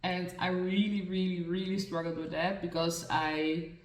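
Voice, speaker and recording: A woman's voice: lowish voice, flat delivery, a little slow. A young woman with a Dutch accent talks casually to her camera while doing her makeup at home. She sounds self-conscious, using lots of fillers and restarting her sentences. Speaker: beauty and mental health vlogger. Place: room in a new home, indoors. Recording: echoey room, mic far from the speaker.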